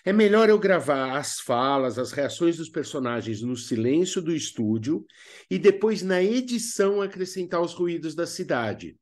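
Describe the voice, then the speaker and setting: A man's voice: a little high, big pitch swings, moderate pace, a little mellow. A man with a Brazilian accent presenting an instructional lesson in a didactic way, as a workshop teacher. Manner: didactic, asks rhetorical questions and answers them. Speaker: radio theatre workshop instructor.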